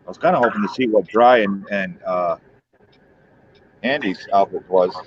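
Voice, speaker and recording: A man's voice: lowish voice, moderate pace, highs cut off, a little hiss or hum. A man in his fifties hosting a livestream, chatting loosely and joking with viewers. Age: 50s. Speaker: livestream host. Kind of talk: casual chat with viewers.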